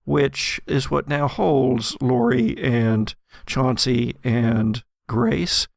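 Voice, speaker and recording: Slow, creaky-voiced man telling a story. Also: medium pitch, lively intonation, no echo, clean studio sound. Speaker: game master and host. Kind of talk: narrating an actual-play audio drama, storytelling.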